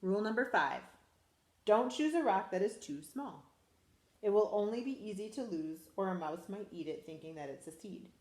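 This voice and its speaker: A school principal, a woman, reading a picture book aloud to children in a lively, expressive way. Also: mid-range voice, moderate pace, reverberant. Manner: animated, expressive storytelling to children.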